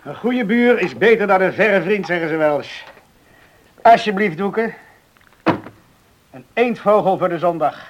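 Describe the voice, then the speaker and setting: A man's voice: high-pitched, lively intonation, a little slow, long pauses, dull audio, slight background noise. An actor playing a friendly, modest young man from an island village in a radio drama. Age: young adult.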